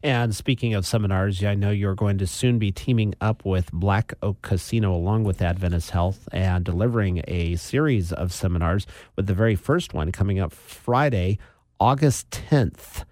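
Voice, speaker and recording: A man hosting a local interview, friendly and brisk as he lays out event details. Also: sits low, darkish tone, no room sound, clean studio sound. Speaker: interview host.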